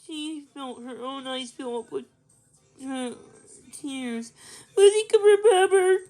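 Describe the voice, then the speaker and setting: A woman reading a children's story aloud, expressive and a little halting, with small stumbles. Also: sits high, faint music behind. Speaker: storybook reader.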